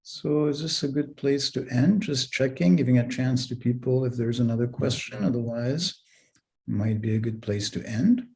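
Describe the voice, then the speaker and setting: A man's voice: medium pitch, moderate pace, gravelly, warm tone. A man moderating an online session, politely checking whether anyone has another question.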